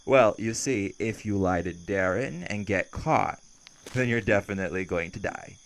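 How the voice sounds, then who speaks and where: A man's voice: lowish voice, moderate pace, a little hiss or hum, close to an everyday voice. A man playing a cheerful, cocky vampire who teases, flirts and casually threatens in an intimate audio roleplay. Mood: cheerful menace.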